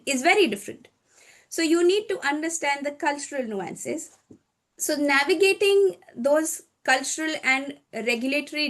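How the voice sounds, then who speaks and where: A woman from India, answering interview questions in a measured, explanatory way; her is Hindi. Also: sits high. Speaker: guest, corporate lawyer.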